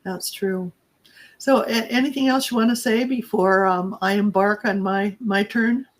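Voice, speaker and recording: A woman podcast co-host and coach with a scratchy, raspy voice, telling her own story in a halting, reflective way. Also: mid-range voice, fairly even intonation. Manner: halting and reflective, trails off mid-thought. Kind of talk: personal storytelling in casual chat.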